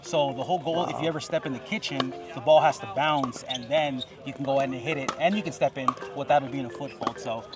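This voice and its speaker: A man's voice: mid-range voice, quick pace, few pauses, loud music behind the voice. A man on an outdoor pickleball court explaining the rules casually and joking with puns. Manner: joking, punny.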